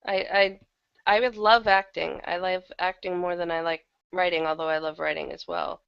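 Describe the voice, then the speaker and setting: A woman talks candidly and at length in a casual interview. Her voice is on the low side and gravelly, as from a slightly thrashed throat. The recording is dull and lo-fi. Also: steady tone, moderate pace, no room sound. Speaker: interview guest, audio drama actor and producer.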